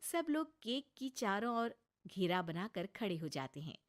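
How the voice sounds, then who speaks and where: A woman's voice: medium pitch, very animated, a little slow. A woman narrating a scripted story as a storyteller.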